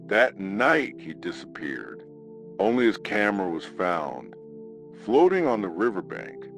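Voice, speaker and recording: A man hosting a scripted horror radio show, telling a ghost story in a dramatic, ominous way with weighted pauses. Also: lowish voice, sing-song, a bit bright, soft background music.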